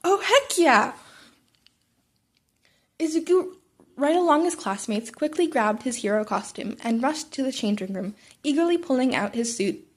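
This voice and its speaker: Woman reading fanfic out loud, kinda high voice, informal, trips on words a bit. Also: animated, normal speed. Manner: informal, stumbles and rereads words. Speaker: podfic narrator reading fan fiction aloud. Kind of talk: informal amateur story narration with character voices.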